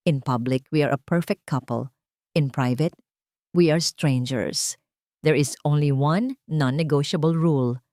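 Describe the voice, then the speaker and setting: A woman's voice: sits low, measured pace, warm tone, dry recording, studio quality. A woman voicing a young billionaire CEO in a romance audio story, blunt, businesslike and controlled. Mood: cold, firm. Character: commanding, cool young male billionaire CEO.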